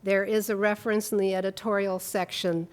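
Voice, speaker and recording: A woman's voice: medium pitch, level tone, fairly quick. A woman moderating a community workshop in a church hall, introducing the panelists with playful asides. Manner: warm, playful, reading out a bio. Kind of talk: introducing speakers.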